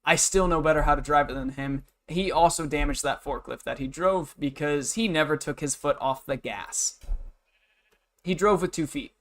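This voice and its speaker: A man's voice: a little high, fairly bright tone. A man in his twenties chatting casually as a livestreamer, rambling and venting in a blunt, matter-of-fact way. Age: 20s. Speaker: game livestreamer. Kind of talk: casual rambling stream chat, venting about work.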